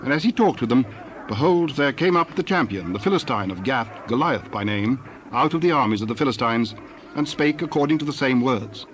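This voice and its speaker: A man's voice: mid-range voice, animated, a little fast. A man reading scripted scripture narration as the narrator of a dramatization. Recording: noisy background ambience.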